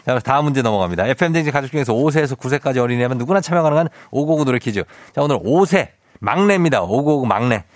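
A man's voice: medium pitch, lively intonation, fast, few pauses, no room sound. A male radio DJ hosting a quiz segment in a playful, teasing way. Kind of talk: casual radio show hosting. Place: radio studio. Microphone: studio microphone.

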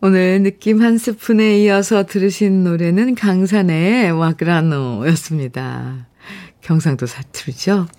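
Lady radio dj, slightly low voice, chatty and friendly, reading listener texts, soft music under it. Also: measured pace. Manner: chatty, friendly, reads listener messages aloud. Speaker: radio host. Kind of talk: radio show chat and listener letters. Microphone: studio microphone.

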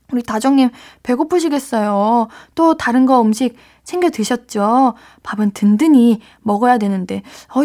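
A woman's voice: high voice, darkish tone. A woman hosting a late-night radio show, chatting and reacting to listener letters with frequent gasps and exclamations, speaking into a studio microphone. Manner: bubbly, gasps and exclaims often. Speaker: radio DJ host.

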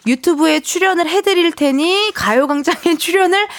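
A woman's voice: extremely high pitch, moderate pace, no room sound, clean studio sound. A woman in her thirties hosting a radio show, chatting casually and laughing often. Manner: bubbly, laughs often, animated. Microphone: studio microphone.

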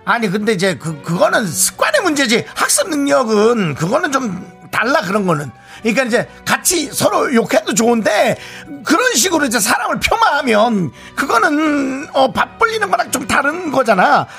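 Man playing a high-pitched, whiny, indignant wife. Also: sing-song, moderate pace, gravelly, hardly any pauses, pushed and bright, soft background music, full character voice. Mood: defensive indignation. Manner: dramatic, whiny, indignant complaining. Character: aggrieved, touchy wife venting about, then defending her husband. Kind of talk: comic radio skit of a listener's story.

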